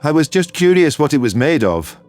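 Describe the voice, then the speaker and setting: A man's voice: a little high, big pitch swings, brisk, darkish tone, soft background music, voice pushed up. A man voicing an earnest, easily exasperated male soldier with dry, defensive retorts, in a sci-fi audio story.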